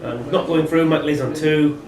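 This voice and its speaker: A man's voice: fairly high pitch, steady tone, moderate pace. A man acting as quiz scorer, calling out players' names and scores. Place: pub room during a live quiz. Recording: echoey pub, distant mic, chatter behind.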